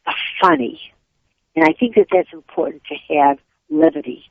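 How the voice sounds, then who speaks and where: A woman's voice: medium pitch, vocal fry, no room sound. An older woman, a Yiddish-speaking author, chatting upbeat and rambling as an interview guest. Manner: upbeat, rambling, quick tangents. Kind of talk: casual interview chat. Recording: tinny phone line.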